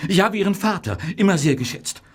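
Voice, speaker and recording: An actor in a German radio play as a worried, conscientious Victorian pharmacist, pleading and morally stern. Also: male voice, sits high, lively intonation, brisk, breathy edge, full character voice. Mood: pleading, admonishing.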